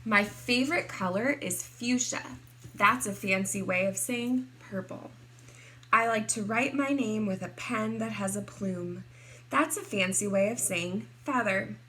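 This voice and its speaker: A woman reading a picture book aloud expressively to kindergarteners, in a deliberate teacherly way. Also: mid-range voice, a bit bright, slight background noise, rough recording. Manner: deliberate, clearly addressed to small children.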